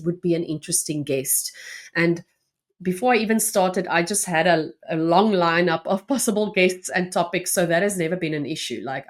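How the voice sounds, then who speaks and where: A woman from South Africa, an Afrikaans native speaker with a South African accent, answering interview questions earnestly with frequent restarts. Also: lowish voice. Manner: earnest, with restarts and soft laughs. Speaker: podcast guest.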